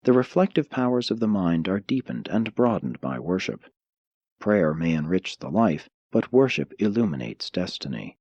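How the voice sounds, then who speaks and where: A man narrating an audiobook, reading formal scripted philosophical prose in a measured, declarative manner. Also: low voice, very animated, a little mellow, husky, no echo, lo-fi sound.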